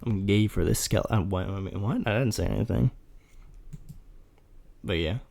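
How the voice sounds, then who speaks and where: A man's voice: fairly low pitch, normal speed, vocal fry, darkish tone. A man doing voice acting at home, joking and rambling casually between takes. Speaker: voice actor. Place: home recording room with ceiling fan.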